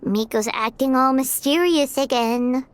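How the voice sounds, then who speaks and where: A woman voicing a small, curious, naive and excitable fairy companion in a video game, with a squeaky, childlike voice. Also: a little slow, smooth, dry recording. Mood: curious, puzzled. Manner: refers to herself in third person, expressive. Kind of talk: video game character acting.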